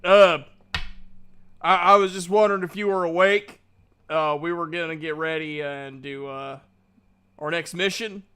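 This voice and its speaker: A man acting out a tabletop-game adventurer, a clumsy, well-meaning fellow. His voice is bright and clear, pitched up, and comes out loud and awkward. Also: fairly even intonation, a little slow, long pauses. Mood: awkward, apologetic. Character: loud, awkwardly apologetic male adventurer in shorts.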